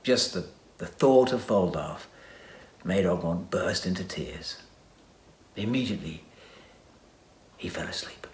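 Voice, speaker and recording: A man narrating a children's adventure story with dramatic reading and hushed asides. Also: sits low, animated, unhurried, pauses often, a little hiss or hum. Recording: echoey room.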